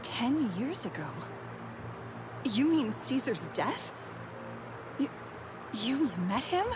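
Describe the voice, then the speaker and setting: A bitter, theatrical former phantom thief. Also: female voice, sits high, sing-song, slow pace, slight background noise, exaggerated voice. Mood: accusing, surprised. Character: bitter grown woman, former phantom thief, world-weary and defiant. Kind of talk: dramatic scripted video game voice acting.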